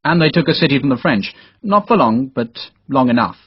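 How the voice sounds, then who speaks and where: A man's voice: mid-range voice, expressive, brisk, slightly rough, dry recording, muffled recording. A man voicing a hearty, blunt middle-aged Irish engineer captain in an audiobook. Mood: brisk, curious. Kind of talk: audiobook character dialogue.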